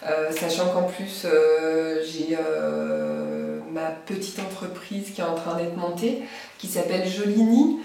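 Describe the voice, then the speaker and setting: A woman's voice: sits low, very animated, slow, dark tone, recorded from a distance, soft background music. A young woman from France with a cold, chatting casually and hesitantly about her knitting and sewing, with many fillers. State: has a cold. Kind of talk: casual chat. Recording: very echoey room, voice from afar.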